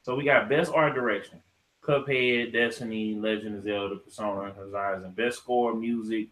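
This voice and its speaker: A man's voice: fairly low pitch, steady tone, moderate pace, a bit bright, slight background noise, poor audio. A man hosting a podcast in an informal, slangy way, chatting casually and reading through lists. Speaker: podcast host.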